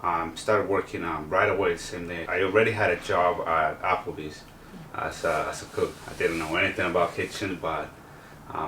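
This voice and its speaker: A very deep-voiced man from El Salvador talks through his story haltingly, filling gaps with ums. He sits a bit back from the mic in a roomy, echoing space. A faint hum of noise sits underneath. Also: bright, forceful tone. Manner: halting, many fillers. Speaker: contestant. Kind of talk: personal interview. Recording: roomy sound from a distance.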